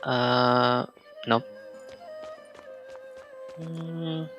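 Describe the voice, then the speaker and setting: A man streaming a video game, reading choices aloud and muttering low-key reactions. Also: fairly even intonation, very slow, a bit bright, soft background music. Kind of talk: casual gameplay commentary.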